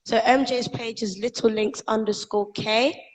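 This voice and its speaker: A woman's voice: a little high, steady tone, moderate pace. Young woman interviewing in a reverberant space. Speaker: show host, interviewer. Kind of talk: casual live interview chat. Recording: echoey, long room reverb.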